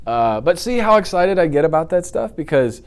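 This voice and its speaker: A man in his thirties chatting casually as an interview guest, telling stories in an animated way and acting out reactions. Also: a little high, fairly quick, clear, resonant tone. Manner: animated storytelling, acts out reactions and sound effects. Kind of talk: casual chat about films and games. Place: indoor office studio.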